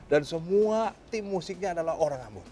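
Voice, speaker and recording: A man's voice: a little high, expressive, fairly quick, warm tone. A man, a pastor giving conversational religious advice informally, with some English words mixed in. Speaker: pastor answering questions.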